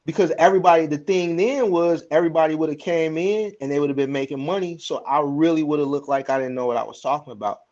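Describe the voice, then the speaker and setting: A man's voice: a little high, fast talker, hardly any pauses, a little mellow, muffled recording. A man in casual conversation with a colloquial, slangy way of talking. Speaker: online trucking content creator. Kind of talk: casual chat.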